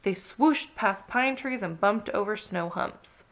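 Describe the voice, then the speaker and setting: A woman narrating a children's read-along story with expressive, dramatic emphasis. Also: medium pitch, slow pace, low-quality recording.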